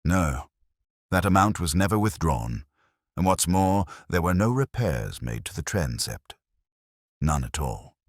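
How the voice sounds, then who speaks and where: Audio mystery role: fretful village vicar played by a male narrator, low voice, urgent, swooping intonation. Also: very animated, a little slow, no echo, studio quality, exaggerated voice. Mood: frantic, insistent. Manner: breathless, urgent, frantic.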